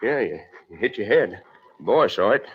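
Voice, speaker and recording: A man's voice: lowish voice, animated, normal speed, husky. An actor playing a surly, evasive American farmer and father in an old-time radio drama, muttering terse fragments and barking orders. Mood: panicked, muttering. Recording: muffled, noisy old radio recording.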